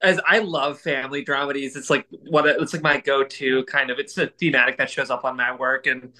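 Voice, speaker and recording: A man's voice: medium pitch, steady tone, brisk, pushed and bright. A man chatting casually and asking questions as a podcast co-host. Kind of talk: casual interview chat.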